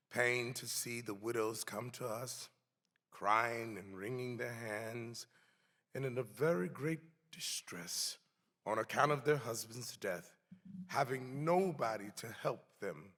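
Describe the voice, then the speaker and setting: A man giving a solemn, emphatic dramatic reading of a historical text in a deliberate, theatrical way. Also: mid-range voice, bright, forceful tone. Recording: echoey hall with long reverb.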